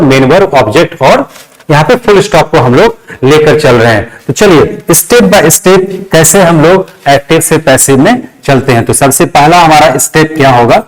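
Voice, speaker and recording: A man's voice: on the high side, poor audio. A man with a Bihari Indian accent teaching a grammar lesson step by step, repeating phrases, dictating word by word and often checking in with short questions. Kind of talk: classroom lesson explained step by step.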